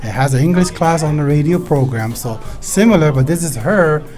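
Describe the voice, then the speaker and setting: A man's voice: a little high, animated, a little fast, darkish tone. Male radio host, music behind him. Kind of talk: live bilingual radio interview. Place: live broadcast at a market event.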